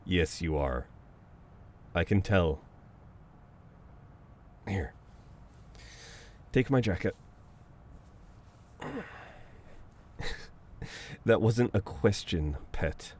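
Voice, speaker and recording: Guy acting as a protective teasing dom best friend, really deep voice, slow easy casual talk, asmr roleplay. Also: lively intonation, a little hiss or hum, full character voice. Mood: firm, affectionate. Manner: casual, conversational, with soft chuckles and hesitations. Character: caring, teasing, dominant male best friend on a date. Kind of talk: intimate ASMR audio roleplay, one side of a conversation.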